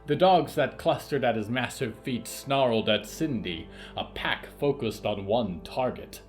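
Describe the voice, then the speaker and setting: A man narrating scripted literary horror fiction in a measured, theatrical way with dramatic pauses. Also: medium pitch, faint music behind.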